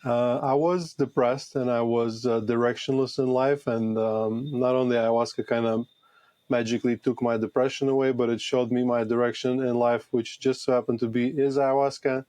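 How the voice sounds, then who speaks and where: A man in his thirties with a Latvian accent, talking conversationally as an interview guest in a halting, reflective way. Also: mid-range voice, level tone, darkish tone, no room sound. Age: 30s. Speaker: podcast guest, retreat founder.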